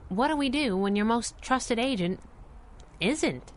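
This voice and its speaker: An actress playing a spunky, loyal young sidekick with a sassy, slangy, streetwise manner in a 1930s radio adventure style. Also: female voice, a little high, lively intonation, measured pace. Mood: troubled, pleading.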